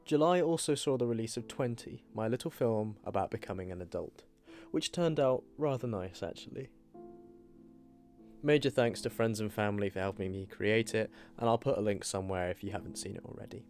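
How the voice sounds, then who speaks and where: A young man with a British accent narrates a personal video diary. His voice sits fairly low and his delivery is earnest and reflective. Faint guitar music plays behind him. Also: brisk, darkish tone. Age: young adult. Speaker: video creator and student filmmaker. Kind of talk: scripted voice-over of a year-in-review vlog. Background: background music with guitar.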